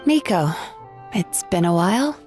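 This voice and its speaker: Composed, gently wry game therapist. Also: female voice, fairly low pitch, expressive, soft background music. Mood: politely wry. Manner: measured, polite, understated. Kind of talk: video game character acting.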